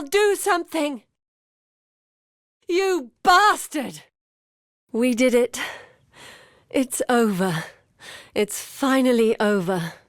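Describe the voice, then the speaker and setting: An actress playing a haunted, determined young woman researcher in a video game, speaking earnestly and solemnly in a measured, dramatic way. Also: mid-range voice, no echo, studio quality, natural voice. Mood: desperate, alarmed, then relieved.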